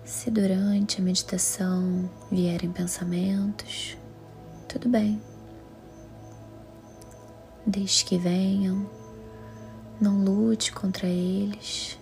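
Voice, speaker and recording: A Brazilian Portuguese woman leads a guided meditation in a calm, soothing, measured way, her voice soft, breathy and half-whispered. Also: mid-range voice. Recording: music under the voice. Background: music.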